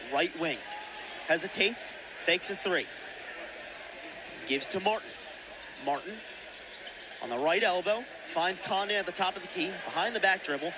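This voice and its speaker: A young man calling basketball play-by-play on the radio in clipped, excitable phrases. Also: fairly high pitch. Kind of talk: live sports commentary. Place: courtside in an indoor basketball arena. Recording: loud arena ambience and noise.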